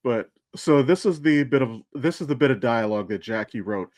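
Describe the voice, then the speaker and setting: A man's voice: medium pitch, animated, fairly quick, darkish tone, dry recording, clean studio sound. An American man co-hosting a podcast in casual, rambling, sweary chat. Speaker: podcast co-host and voice actor.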